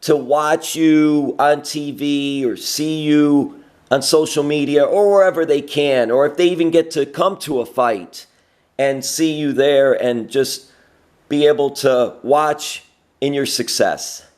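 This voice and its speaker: A man's voice: on the high side, slow, darkish tone. A man hosting a conversational sports interview, asking long, encouraging questions. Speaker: interviewer, host.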